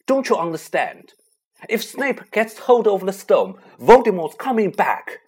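A reader voicing a desperate, determined schoolboy wizard who shouts in defiance. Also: mid-range voice, lively intonation, normal speed, breathy edge, pitched above the performer's own voice. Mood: defiant.

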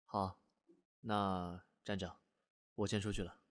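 A man playing a dutiful secretary to a spy chief, deferential and crisp, in a radio drama. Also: deep voice, flat delivery, takes it slowly, slight background noise. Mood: respectful.

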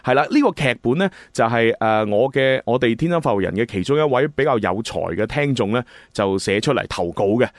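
Male radio presenter, mid-pitched and lively, informal chat delivery. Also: brisk, few pauses, dry recording, clean studio sound. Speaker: radio host and drama producer. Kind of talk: casual chatty live radio presenting.

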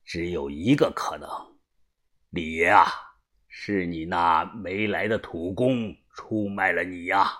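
A man voicing a calm, cold, shrewd old gang boss in an audiobook, speaking in a grave, deliberate hush. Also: deep voice, voice pushed down.

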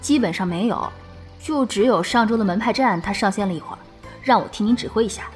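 A woman's voice: medium pitch, quick pace, darkish tone, faint music behind, natural voice. A woman playing a polite, inquisitive young online gamer, a deferential junior guild member in an audio drama. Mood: explaining. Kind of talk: modern audio drama acting.

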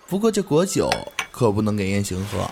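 A man's voice: lowish voice, big pitch swings, a little fast, gravelly, background noise. An actor playing a cheerful, good-hearted young man who chats eagerly and laughs easily in an audio drama. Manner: bright, chatty, laughs easily.

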